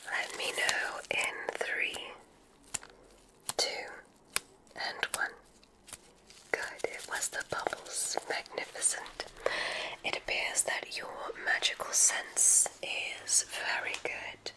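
A woman performs an ASMR roleplay entirely in a soft whisper. She plays a kindly, formal witch examiner at a magic school. Her delivery is very slow and soothing, with gentle instructions and unhurried pauses. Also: rough recording.

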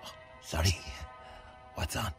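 An actor playing a devoted, bashful working man who lives with his mother, halting and self-deprecating, with an Irish accent, in a radio play. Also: faint music behind, natural voice. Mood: homely, tender. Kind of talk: radio play acting, naturalistic dialogue.

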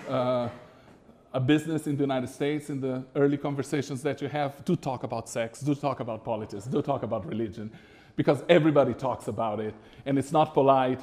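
A middle-aged man from Brazil giving a masterclass presentation to a live audience in a small room, animated and conversational with frequent fillers. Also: medium pitch. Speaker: business consultant lecturer. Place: small lecture room with a screen.

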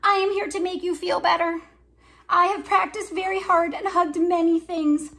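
A tiny young T-rex from a storybook, read aloud by a woman. The voice is high, earnest and determined. Each simple sentence is set out with care. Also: fairly even intonation, sharp tone, exaggerated voice. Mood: sincere, determined. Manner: simple, careful, deliberate storybook phrasing.

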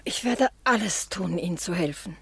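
A timid, devoted middle-aged spinster in a radio drama. Her voice is on the low side and very breathy, with a hesitant, submissive hush. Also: expressive, moderate pace, heavily acted. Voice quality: husky, breathy. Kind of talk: radio play acting.

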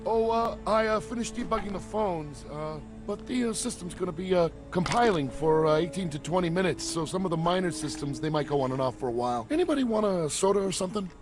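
A man's voice: sits high, expressive, fast, hardly any pauses, music in the background. A man voicing a sloppy, resentful computer programmer who mumbles through fillers and sulks. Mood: evasive. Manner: mumbling with many fillers, sulky.

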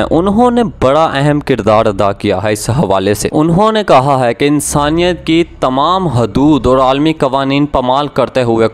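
A man delivers news commentary in a voice set a little higher than most men's. He sounds earnest and indignant and keeps turning to the listener with rhetorical questions, while a faint music bed plays under him. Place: voice-over, not recorded at the pictured scenes.

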